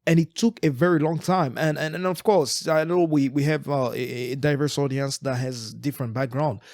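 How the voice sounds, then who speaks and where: A man's voice: a little high, steady tone, normal speed, a little mellow, no room sound. Earnest man from Eastern Congo, dry sound. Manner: earnest, frequent word repetitions and restarts. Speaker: podcast co-host. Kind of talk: reflective casual chat.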